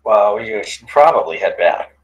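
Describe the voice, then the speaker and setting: A man's voice: on the low side, lively intonation, normal speed, breathy edge, low-quality recording. A man at a script table read playing an anxious, broke student who is reluctant about becoming a father. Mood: hesitant.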